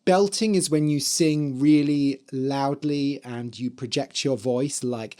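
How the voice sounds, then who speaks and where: A man hosting an English-learning podcast, speaking in a hoarse, slightly dry voice and explaining words clearly for learners. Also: medium pitch, slow, a little mellow. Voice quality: spells words out letter by letter. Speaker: podcast host and English teacher. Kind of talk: slow, clear teaching talk for learners.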